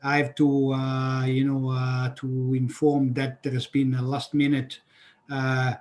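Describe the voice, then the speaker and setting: A man's voice: mid-range voice, monotone, unhurried, darkish tone. Hesitant male panel moderator. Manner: hesitant, many fillers.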